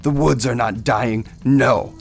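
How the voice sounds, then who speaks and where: A man voicing a proud, grave dark elf ranger in a fantasy game reading, formal and portentous. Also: medium pitch, slow pace, creaky, faint music behind.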